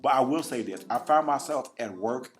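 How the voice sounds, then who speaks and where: A man co-hosting a casual chat podcast, expressive and playful. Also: moderate pace. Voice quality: naturally deep voice. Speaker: podcast co-host.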